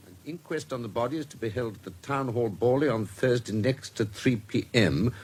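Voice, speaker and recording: An actor playing a measured, authoritative British investigator in a radio drama, speaking with precise, formal, dry courtesy. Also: male voice, mid-range voice, a little mellow, breathy edge, natural voice. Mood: grave, dry.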